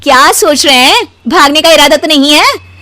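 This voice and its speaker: Lady doing nagging young cartoon wife, pushy and demanding, really high voice, super expressive. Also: moderate pace, faint music behind. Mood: playful. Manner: complaining, pushy, exclamatory. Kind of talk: animated story acting.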